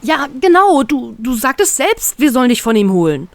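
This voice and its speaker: A woman's voice: mid-range voice, animated, a little mellow, dry recording, natural voice. A woman voicing a hectic young pirate. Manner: hurried, anxious. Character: young male pirate crewmate, hectic and worried.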